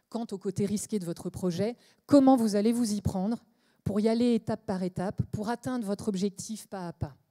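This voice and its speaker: A woman's voice: medium pitch, mellow. A woman speaking at a conference, giving a short inspirational talk that builds to emphatic questions. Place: conference stage.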